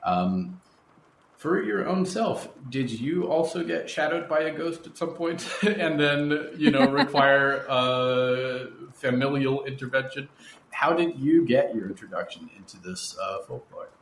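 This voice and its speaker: Male podcast interviewer, deeper register, measured and articulate, recorded in a roomy space with faint noise. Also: lively intonation, fairly bright tone. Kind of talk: conversational interview. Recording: roomy echo with background noise.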